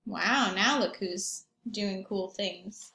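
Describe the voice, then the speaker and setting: Teacher lady doing a kids read aloud, slow n expressive, echoey room. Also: medium pitch, creaky. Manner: expressive, simple child-directed phrasing. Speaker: teacher reading aloud to young children. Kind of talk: storybook read-aloud with questions and comments. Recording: roomy echo.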